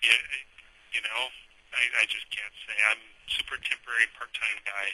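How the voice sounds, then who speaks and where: A man's voice: little pitch movement, moderate pace, pushed and bright. A man working a hotel front desk, answering patiently and noncommittally in casual customer service talk on the telephone. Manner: patient, noncommittal, low-key. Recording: noisy telephone line with clicks.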